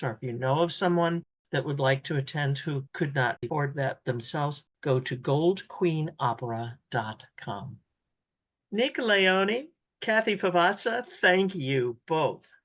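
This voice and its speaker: A woman hosting a radio interview, asking questions and giving announcements. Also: low voice.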